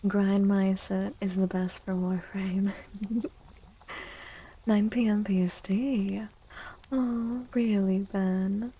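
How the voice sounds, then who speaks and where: Female ASMR livestream host: hushed, mellow, breathy delivery with gentle, soothing manner and frequent whispering. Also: medium pitch, steady tone, takes it slowly, long pauses. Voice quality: breathy, often dropping into a whisper. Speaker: livestreamer hosting an ASMR stream. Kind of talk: soft-spoken ASMR, chatting with viewers. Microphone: close microphone.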